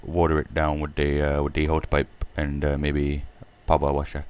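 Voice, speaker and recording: A British man making a prank phone call, posing as a customer in a halting, deadpan way. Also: very deep voice. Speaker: prank caller. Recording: noisy home-recorded prank call.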